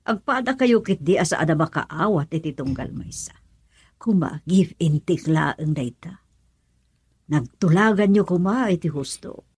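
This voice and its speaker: A woman's voice: fairly low pitch, animated, unhurried, a little mellow, breathy edge. A woman with an Ilocano accent playing a scolding middle-aged mother in a radio drama.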